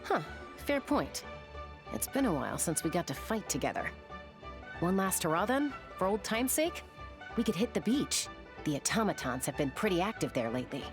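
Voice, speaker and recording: A confident, casual gunslinger from a video game. Her voice sits on the low side with lively, wry inflection, and music plays clearly behind her. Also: moderate pace. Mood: easygoing. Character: easygoing confident adult female gunslinger. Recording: music under the voice.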